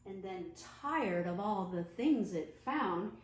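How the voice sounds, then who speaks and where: A woman children's librarian reading aloud to young children in a deliberate, expressive, sing-song way. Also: medium pitch, a little hiss or hum. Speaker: children's librarian hosting storytime. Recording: roomy, echoing room sound.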